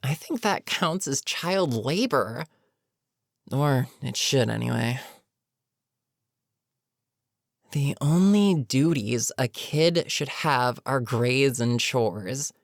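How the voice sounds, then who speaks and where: A woman playing an older, weary supervillain in an audio roleplay, menacing yet protectively concerned, with a measured, sardonic, sighing delivery. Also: a bass voice, expressive, no room sound, studio quality, exaggerated voice. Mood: disgusted, concerned. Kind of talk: dramatic audio roleplay monologue.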